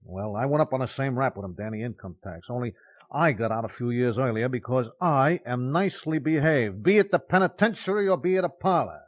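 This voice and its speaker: Actor as a muffled vintage-radio New wiseguy. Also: male voice, medium pitch, lively intonation, fairly quick, close to an everyday voice. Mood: explanatory, wry. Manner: colloquial, mock-formal phrasing. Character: chatty ex-convict informant, dry New York underworld wiseguy. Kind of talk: old-time radio crime drama acting.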